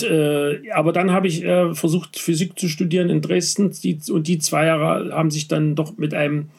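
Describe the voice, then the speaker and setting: A man with a slight Saxon accent talks in relaxed conversation, his voice a little high and gravelly with fry. He halts often, restarting phrases and filling gaps with hesitations. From Saxony, Vogtland. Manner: halting, many restarts and fillers. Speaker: interviewee. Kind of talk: casual conversation.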